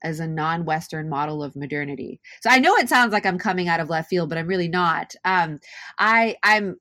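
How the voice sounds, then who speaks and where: Thoughtful woman scholar, lowish voice. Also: a little fast, sharp tone, no room sound, clean studio sound. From Japan. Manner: thoughtful, many fillers and "right?" checks. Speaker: author and professor. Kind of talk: academic interview.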